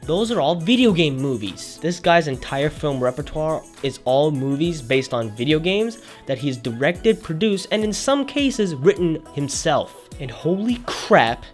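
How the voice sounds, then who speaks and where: A man's voice: on the high side, expressive, unhurried, hardly any pauses, soft background music. A man presenting an opinionated video essay as a YouTube host, speaking emphatically.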